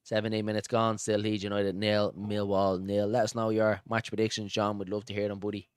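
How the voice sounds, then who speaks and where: Male fan-stream football commentator, Dublin accent, low pitch, chatty and pally with viewers, dry sound. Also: monotone, brisk, smooth, no room sound. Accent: Dublin Irish. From Dublin, Ireland. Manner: informal, matey, addresses viewers as pal and buddy. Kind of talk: live football commentary mixed with casual chat to viewers.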